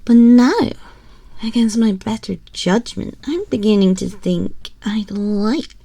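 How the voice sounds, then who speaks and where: Casting: woman as smug, imperious little empress for ASMR roleplay; unhurried theatrical drawl, dropping into whispers, close and dry. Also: medium pitch, mellow, slightly rough, dry recording. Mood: condescending, fond. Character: haughty, teasing, condescending small mouse-girl empress. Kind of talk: ASMR roleplay, scripted character monologue. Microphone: close binaural microphone.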